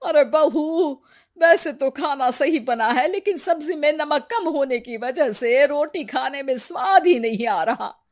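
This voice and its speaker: High-voiced nagging mother-in-law, acted by a woman. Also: animated, unhurried. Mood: grumbling. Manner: complaining, wheedling, theatrical. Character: nagging, dramatic middle-aged Indian mother-in-law. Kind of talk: acted Hindi family story cartoon.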